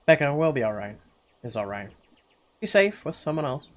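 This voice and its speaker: A man's voice: mid-range voice, very animated, moderate pace. A man reads first-person story text from a game aloud. His voice sits in a medium register, with a dramatic storytelling delivery that halts and stumbles at times. Faint noise runs behind him.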